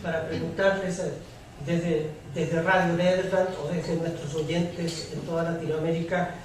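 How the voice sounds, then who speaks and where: A man moderating a panel discussion, putting unscripted questions haltingly as he searches for words. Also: fairly high pitch, little pitch movement. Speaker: moderator of a public debate. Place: echoey room at a public debate. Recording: very echoey room, distant mic, faint noise.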